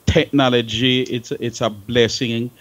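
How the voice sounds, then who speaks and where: A man in a talk-show discussion, speaking haltingly and often repeating words as he restarts phrases. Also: mid-range voice, level tone.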